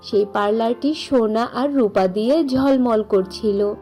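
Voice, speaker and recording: A woman's voice: fairly high pitch, measured pace, dark tone, faint music behind. A woman narrator reading a scripted fairy tale for a cartoon.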